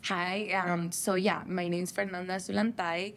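A woman's voice: lowish voice, flat delivery, measured pace, fairly bright tone, slight background noise. Young woman from el salvador, studio interview guest, bubbly and chatty, says like a lot. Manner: upbeat, chatty, frequent "like" fillers. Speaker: guest, chemical engineer and content creator. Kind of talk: casual interview chat. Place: TV studio set, seated on couch.

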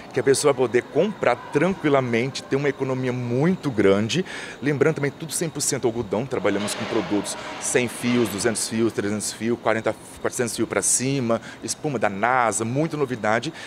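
Event coordinator, thirties man, mid-pitched, outdoor interview with faint traffic behind. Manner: fluent, lists items, frequent restarts. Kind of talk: on-camera interview. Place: outdoors by a painted mural wall. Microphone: handheld reporter mic.

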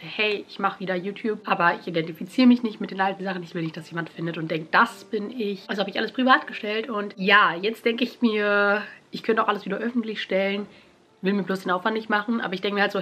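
A woman's voice: medium pitch, slightly rough. Chatty young woman with a stuffy cold. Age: young adult. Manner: chatty, animated, exclaiming. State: nasal from a cold. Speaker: YouTuber. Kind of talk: casual vlog chat. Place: bedroom at home.

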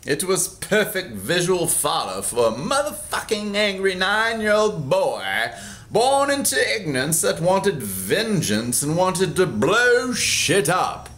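A man in his forties, from Kansas, reading his own memoir aloud in a theatrical, sardonic way, close to a webcam in a small room at home. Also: high voice. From Kansas, USA. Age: 40s. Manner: theatrical, sardonic, bursts into loud emphasis.

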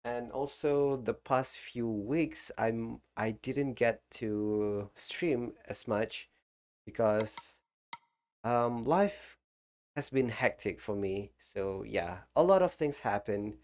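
A man's voice: mid-range voice, slow pace. A man streaming a video game who is phlegmy and still getting over the flu. He rambles casually and chats with his viewers as he plays. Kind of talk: casual live gameplay commentary.

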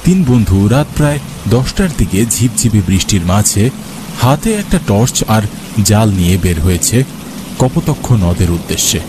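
A man's voice: lowish voice, very animated, normal speed, background noise. A man narrating a scripted scary story for a cartoon. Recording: music and rain behind. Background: music and rain sounds.

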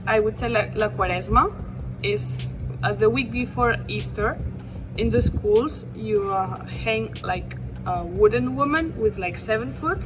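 A woman's voice: mid-range voice, measured pace, rough recording. A young woman from Catalonia, Spain, answering interview questions in a chatty way, often saying "like". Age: young adult. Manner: animated, uses "like" often. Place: outdoor courtyard. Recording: loud music underneath.

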